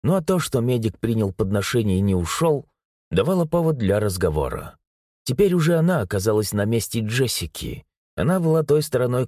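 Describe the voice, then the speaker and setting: Guy reading a novel out loud, expressive with little ironic asides, raspy-ish voice, bouncy delivery. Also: medium pitch, dry recording, clean studio sound. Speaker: audiobook narrator. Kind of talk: scripted narration.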